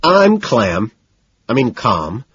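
A man voicing a nervous, overeager well-off man who rushes and stammers while faking composure, in an audiobook. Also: mid-range voice, sing-song, husky, voice pushed up. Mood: frazzled, rushed. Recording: dull, lo-fi, roomy sound.

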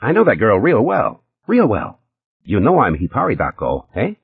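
A man voicing a jittery, boastful, sleazy young playboy who talks fast and nervous, his voice pitched well above his own. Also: wildly swooping pitch, creaky, husky, dry recording, narrow, tinny audio, exaggerated voice. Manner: rapid, nervous, boastful, pitched high and pushy.

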